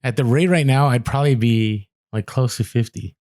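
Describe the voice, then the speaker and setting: A man in his forties co-hosting a podcast, chatting casually, animated and laughing, often restarting his sentences. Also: medium pitch, normal speed, no echo, studio quality. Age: 40s. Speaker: podcast co-host. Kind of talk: casual chat.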